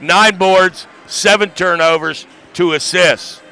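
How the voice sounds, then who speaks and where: A man's voice: sits high, steady tone, takes it slowly, sharp tone, smooth. A man reading out team statistics as an analyst on a sports broadcast.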